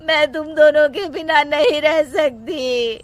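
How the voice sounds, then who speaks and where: Woman playing a high, shrill scolding mother-in-law. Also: takes it slowly, no room sound. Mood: tearful. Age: older. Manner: loud, berating, dramatic complaining.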